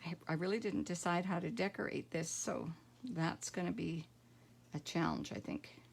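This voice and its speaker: A middle-aged woman with a croaky voice, demonstrating card making at home and thinking aloud in a relaxed, rambling way. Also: on the low side, moderate pace, a little hiss or hum. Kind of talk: casual craft tutorial.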